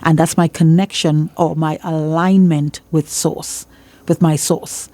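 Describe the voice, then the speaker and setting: A woman's voice: low voice, level tone, a little slow, warm tone, dry recording. A woman with a Trinidadian accent speaking as a church leader in an interview, emphatic and repeating phrases for emphasis like a preacher giving testimony.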